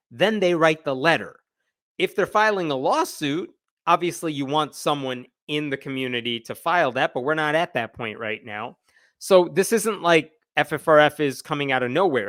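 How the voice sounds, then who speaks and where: A man's voice: fairly high pitch, a bit bright, dry recording, clean studio sound. A man hosting a livestream with casual, opinionated news commentary, conversational and emphatic, with a sardonic edge.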